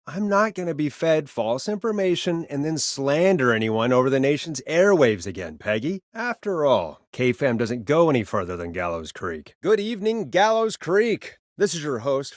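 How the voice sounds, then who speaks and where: An actor playing a wry, jaded male radio phone-in host in a video game, sardonic and expressive, moving between showy patter and casual talk. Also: medium pitch, dry recording, clean studio sound, natural voice. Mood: firm, irritated, then showy, upbeat. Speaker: late-night radio host.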